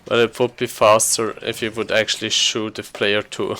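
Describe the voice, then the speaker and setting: A man giving casual, low-key live commentary on his own speedrun, muttering to himself as he plays. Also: flat delivery, normal speed, bright, forceful tone.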